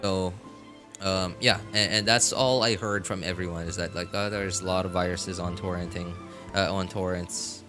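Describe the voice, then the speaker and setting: A man's voice: deep voice, fairly even intonation, normal speed, music in the background. A man chatting casually as a game streamer, rambling and thinking aloud to his viewers. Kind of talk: casual rambling livestream chat.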